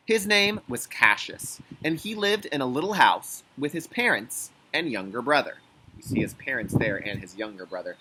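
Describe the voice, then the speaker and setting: A man's voice: mid-range voice, slight background noise. A man teaching young children in a read-aloud lesson, reading expressively and explaining things in simple, instructional phrases. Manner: clear instructional phrasing with check-in questions; expressive, emphatic storybook reading. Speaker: kindergarten teacher.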